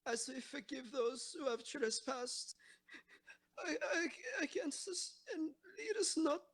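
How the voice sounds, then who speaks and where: A woman playing a terrified, doomed young girl in an audio drama, crying and halting. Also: sits high, very animated, exaggerated voice. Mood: terrified, sobbing. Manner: halting, tearful. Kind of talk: fan audio drama acting.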